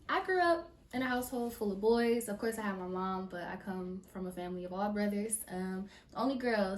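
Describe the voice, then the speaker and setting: Young woman guest from Mississippi, casual and slangy, roomy distant studio sound. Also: medium pitch. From Mississippi, USA. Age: young adult. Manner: relaxed, slangy, emphatic repetitions. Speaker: guest choreographer. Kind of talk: casual interview. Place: podcast studio.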